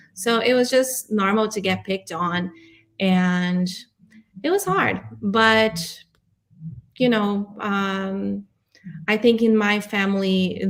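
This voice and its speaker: A woman in her forties from Brazil with a Brazilian accent, answering interview questions in a candid, reflective, conversational way. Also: medium pitch. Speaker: interview guest, arts nonprofit director.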